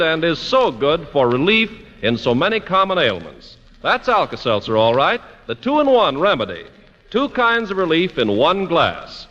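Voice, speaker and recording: Old time radio ad guy, lively sales pitch, bright voice, muffled n echoey. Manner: emphatic, polished sales delivery. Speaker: radio announcer. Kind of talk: 1940s radio commercial announcing.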